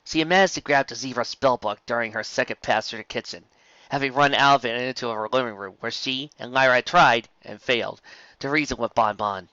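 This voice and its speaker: Male narrator, bright forceful tone, storybook live read, dry slightly dull recording. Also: mid-range voice, brisk, no echo. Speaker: narrator and reader of fan fiction.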